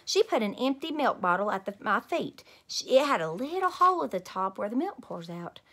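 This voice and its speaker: Bright, higher-pitched woman reading to children. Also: a little fast, slightly rough. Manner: animated, emphatic. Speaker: teacher reading aloud to children. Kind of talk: expressive children's story read-aloud. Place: small room at home.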